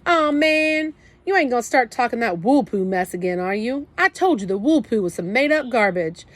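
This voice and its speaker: A woman's voice: on the high side, lively intonation, normal speed, voice pushed up, natural voice. A woman voicing a tough teenage big brother who talks in blunt slang, scolding and protective at once. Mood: gruff comforting. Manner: streetwise slang, scolding then comforting.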